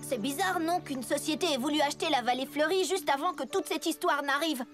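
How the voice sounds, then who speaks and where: An actress playing a plucky, sharp-witted young boy in a French children's cartoon dub, earnest and inquisitive. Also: sits high, fairly quick, lightly acted. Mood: puzzled, determined. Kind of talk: animated children's series dub. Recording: loud cartoon music behind.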